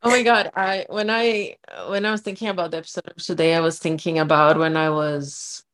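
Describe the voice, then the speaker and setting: A woman in her thirties chats as a podcast co-host. Her voice is low. She tells stories with lots of "like" and "you know". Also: fairly quick. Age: 30s. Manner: storytelling, animated, frequent "like" and "you know". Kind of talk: casual podcast chat.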